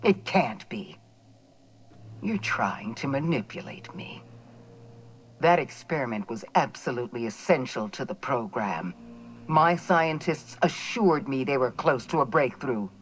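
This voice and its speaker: A cold, imperious corporate villainess from a video game. Her low voice is measured and condescending, with expressive swings in intonation. Faint background noise sits behind her. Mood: shaken anger. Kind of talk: dramatic video game dialogue.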